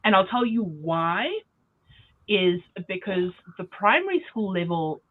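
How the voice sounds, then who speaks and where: A woman's voice: mid-range voice, lively intonation, a little slow. A woman from South Africa chatting casually and emphatically, with frequent fillers, on a podcast. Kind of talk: casual chat. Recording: tinny phone-like line.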